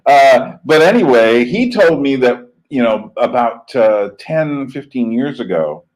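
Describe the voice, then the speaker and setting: A man's voice: mid-range voice, a little mellow, rough recording. A man from the United States talking casually on a live stream, rambling with many fillers, restarts and chuckles. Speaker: channel host.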